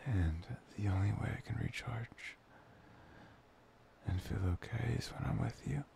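A man performing an intimate boyfriend ASMR roleplay, murmuring softly and tenderly, breathy and often dropping to a whisper. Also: lowish voice, slight background noise. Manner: soft, tender, murmuring with long pauses.

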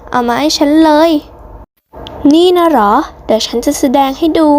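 A woman's voice: high-pitched, normal speed, darkish tone, slight background noise, lightly acted. A woman voicing an anxious, bossy female schoolteacher in a cartoon story, emphatic and insistent. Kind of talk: hobby cartoon story dubbing.

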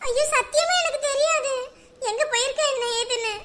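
A woman's voice: very high voice, normal speed, very noisy. A woman playing a young village daughter who protests, whining, that she knows nothing. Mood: protesting. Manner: protesting, whiny.

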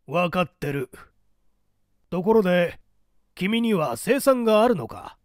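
A man's voice: high voice, big pitch swings, slow, no echo, studio quality, heavily acted. A man voicing a rigid, zealous party loyalist in an audiobook drama, with an emphatic, declamatory delivery. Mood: eager. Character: rigid, zealous male party loyalist preaching iron discipline. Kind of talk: dramatic audiobook character dialogue.

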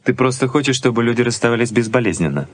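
Actor doing a principled young fiance, medium pitch, deliberate and emphatic, 80s soviet radio play. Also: male voice, expressive, lightly acted. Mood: insistent. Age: young adult. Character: principled, possessive young fiancé, earnest and stubborn.

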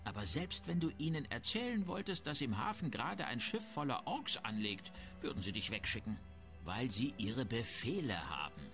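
Grumbly shrewd merchant from a fantasy game, super expressive, bit pompous, music behind him. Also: male voice, mid-range voice, normal speed. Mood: indignant grumbling. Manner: haggling, disdainful, self-important. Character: shrewd, grumbling, self-important male town merchant. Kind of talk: fantasy video game dialogue acting.

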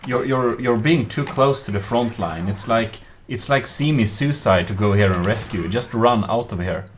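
A man's voice: lowish voice, normal speed, a little hiss or hum. A man in his thirties streaming a game, chatting casually into a headset with lots of fillers and chuckles. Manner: relaxed, hesitant with many fillers, chuckling. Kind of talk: casual gameplay chat.

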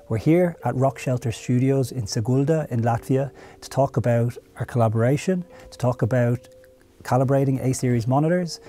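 Male host, 30s, relaxed dark tone, conversational product explainer. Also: medium pitch. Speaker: host, product marketing head. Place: studio room with curtains and audio gear.

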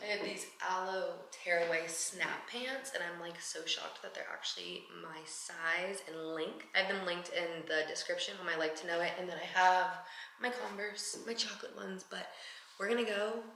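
A woman's voice: fairly low pitch, fairly even intonation, normal speed, vocal fry, pushed and bright. A woman in her twenties chatting casually to camera in a daily vlog, upbeat and full of "like". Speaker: vlogger. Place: large bright home interior. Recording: roomy echo, faint noise.

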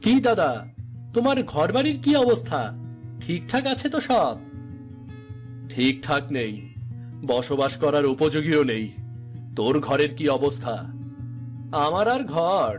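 A man's voice: on the high side, big pitch swings, slow pace, pauses often. A man voicing village men in a dramatic folk-tale cartoon, speaking. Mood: anxious. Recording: music behind the dialogue.

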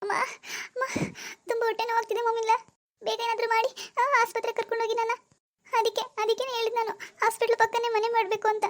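A woman plays a demanding young pregnant wife in a family drama, pleading and whining in a very high voice. Her lines come in fast, pressing bursts. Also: fairly even intonation. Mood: pained pleading. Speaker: daughter-in-law.

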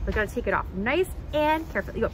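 A woman's voice: fairly high pitch, animated, racing, over music, low-quality recording. A woman in her thirties presenting a playful children's puppet show, talking in an exaggerated, sing-song, encouraging way as if to small children.

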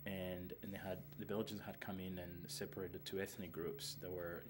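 A man in his thirties from Rwanda with a very low voice talks through interview answers slowly and earnestly, stopping often on fillers and restarts. A clear hiss of room noise sits under his voice. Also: level tone. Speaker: filmmaker, interviewee. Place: indoors, seated in front of a film poster.

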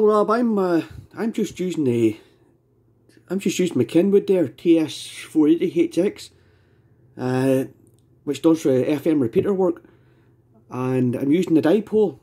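A man's voice: on the high side, dark tone, breathy edge. A Scottish man chatting casually as an amateur radio operator, rambling and repeating his phrases. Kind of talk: casual ham radio chat.

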